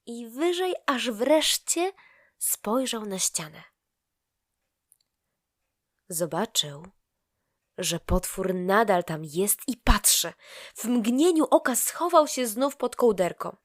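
A woman storyteller narrating a scripted tale for children in an expressive, deliberate manner. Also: medium pitch.

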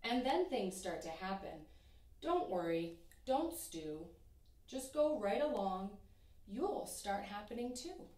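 Slow, expressive woman reading a picture book. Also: medium pitch, far from the mic. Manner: expressive, sing-song rhyming delivery. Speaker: teacher reading aloud. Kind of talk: children's picture book read-aloud. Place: small room in front of a painted backdrop. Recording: echoey room, voice from off-mic.

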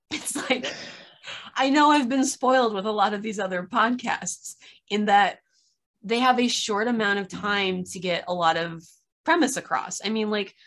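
A woman's voice: mid-range voice, fairly bright tone. A woman in her thirties chatting casually on a video call, recounting stories with wry exasperation and acted-out asides. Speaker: podcast reviewer in a video chat. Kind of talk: casual chat, opinionated recounting. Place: small room at home, on a video call.

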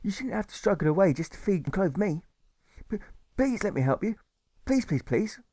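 A man's voice: high-pitched, sing-song, moderate pace, dark tone, voice pushed up. A man voicing a timid boy in an audiobook, stammering, repeating words and pleading. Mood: earnest, pleading. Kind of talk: audiobook character voice.

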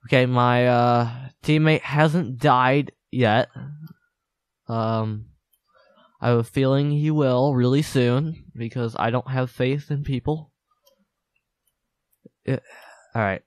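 A man's voice: medium pitch, steady tone, takes it slowly, long pauses, clean tone, dry recording. A young man with a stuffed-up nose giving playful, boastful commentary while playing a game. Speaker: gaming channel host. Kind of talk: casual gameplay commentary.

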